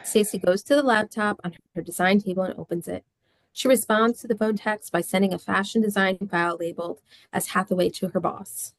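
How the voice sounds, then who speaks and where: A woman's voice: medium pitch, a little fast, rough recording. A woman reading scripted stage directions as a narrator.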